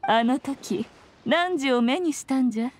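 A woman's voice: high-pitched, animated, measured pace. A woman voicing a playful, commanding ancient fox spirit lady in an anime game, speaking in an archaic, self-assured, teasing way. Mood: composed, reflective. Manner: archaic, lordly old-fashioned speech, teasing and self-assured. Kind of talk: Japanese anime game voice acting.

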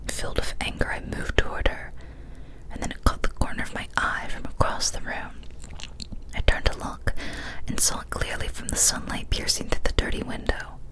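A young woman reads a horror story in a soft, breathy ASMR whisper, slowly and deliberately, close to a studio microphone. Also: low-quality recording. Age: young adult. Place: small decorated indoor room. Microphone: large studio condenser mic, up close.

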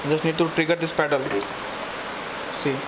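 A young man, a technical engineer giving a plain product demonstration with halting, restarting explanations in a workshop. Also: on the high side, little pitch movement. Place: workshop with machine on a green bench. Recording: noisy workshop room audio.

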